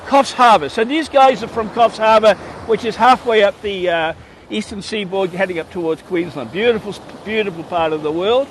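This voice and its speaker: A man from Wales with a Welsh accent, chatting excitedly to his livestream viewers while commenting on a race at a surf beach. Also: sits high, normal speed, a little hiss or hum, low-quality recording. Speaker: livestream host. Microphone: phone mic held in hand.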